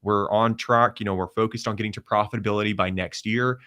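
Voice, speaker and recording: A man's voice: fairly even intonation, pushed and bright. A man from Croatia, a guest on a casual podcast, talking about his company in an upbeat sales manner. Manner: upbeat, rapid pitch-style talk.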